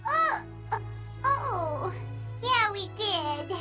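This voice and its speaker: A woman voicing a tiny, excitable, cheeky childlike fairy companion in an anime game, exclaiming and squeaky. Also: drawn-out words, heavily acted. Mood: excited, cheerful. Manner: refers to self in third person, exclaiming. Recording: music behind the voice.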